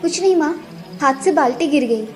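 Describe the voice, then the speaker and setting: A woman voicing a meek, kind young girl in a fairy tale, gentle, earnest and polite. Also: high voice, lively intonation, a little fast. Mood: timid. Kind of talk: acted children's story dialogue.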